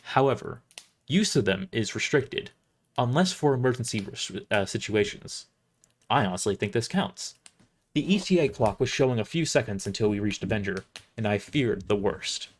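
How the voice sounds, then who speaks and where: A man's voice: fairly low pitch, lively intonation, moderate pace. A man reading first-person science fiction narration live, now and then stumbling over the script and rereading words. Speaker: storyteller.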